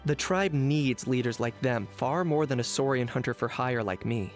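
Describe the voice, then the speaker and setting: A man playing a cool, pragmatic young hunter for hire in scripted game dialogue, measured and matter-of-fact. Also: mid-range voice, natural voice. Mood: cool, businesslike. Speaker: game character voice actor. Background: game background music.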